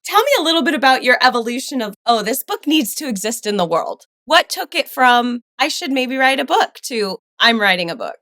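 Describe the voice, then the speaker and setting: A woman's voice: fairly high pitch, sing-song, a little fast, hardly any pauses, pushed and bright. A woman hosting a podcast, asking curious questions and adding short affirmations. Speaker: podcast host. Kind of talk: interviewing a guest.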